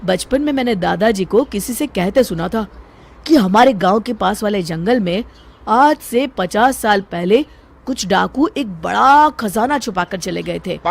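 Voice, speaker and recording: A woman's voice: mid-range voice, creaky, a little mellow. A woman voicing an earnest, cautious young man in a cartoon story, talking to his friend.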